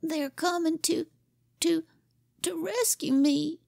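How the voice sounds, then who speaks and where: A woman's voice: very high voice, slow, creaky, darkish tone, dry recording, studio quality, voice pushed up, heavily acted. Woman doing a stammering frightened little boy. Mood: whimpering. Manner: stammering, timid.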